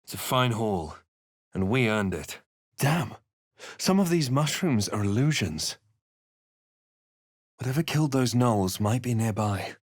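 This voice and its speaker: A man voicing a grave, watchful, wry spellcasting adventurer hero in a video game, with a measured, dramatic delivery. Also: mid-range voice, expressive, no room sound, clean studio sound. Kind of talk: video game voice lines, dramatic narration and incantations.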